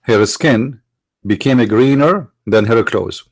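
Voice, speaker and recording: A man whose first language is Arabic, from the Middle East, arguing in a sarcastic, mocking tone and slowing down to stress quoted phrases. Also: medium pitch, no echo. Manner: sarcastic, mocking rhetorical questions. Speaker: apologist speaker. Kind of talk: argumentative lecture.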